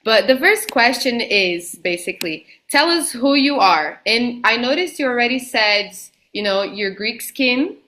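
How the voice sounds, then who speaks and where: Bright-voiced woman hosting a chat. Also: a little high, animated, unhurried, few pauses, slight background noise. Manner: friendly, guiding questions, laughs easily. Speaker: host. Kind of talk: casual bilingual interview.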